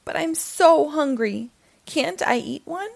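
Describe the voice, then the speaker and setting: A cheeky young boy from a storybook, acted by a woman. The voice is high and swoops about with whiny, sarcastic protest. It sounds reluctant and full of back-talk, recorded close and dry. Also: lively intonation, slow pace, vocal fry, a little mellow, no room sound, full character voice. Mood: whiny, complaining. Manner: complaining, exclamatory back-talk. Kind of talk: children's storybook read-aloud.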